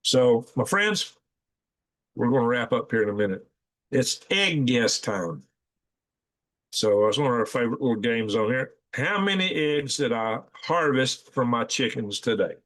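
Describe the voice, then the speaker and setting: Folksy man, hoarse, live-chat host who rambles and reads viewer comments aloud. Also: medium pitch, lively intonation, measured pace, fairly bright tone. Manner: rambling, folksy, with frequent fillers and restarts. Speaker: livestream host.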